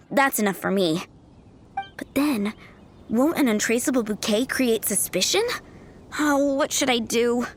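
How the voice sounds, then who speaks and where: Woman playing a high-voiced, shy young admirer. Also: lightly acted. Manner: hesitant, fretful, polite. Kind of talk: video game character acting. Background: background music.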